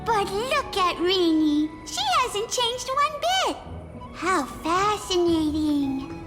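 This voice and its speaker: A woman voicing a tiny, childlike fairy in a video game, sweet, excitable and gushing. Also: very high-pitched, slow pace, heavily acted. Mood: admiring. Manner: cutesy, exclaiming. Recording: long reverb, loud music behind.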